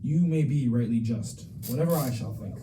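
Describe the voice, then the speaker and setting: Young guy doing a wary exiled prince in shakespeare, formal verse, really mellow relaxed tone, homemade recording w faint hiss. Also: medium pitch, natural voice, low-quality recording. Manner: formal, measured recitation of archaic English. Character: guarded, self-doubting young exiled Scottish prince. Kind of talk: student dramatization of Shakespeare, recited verse.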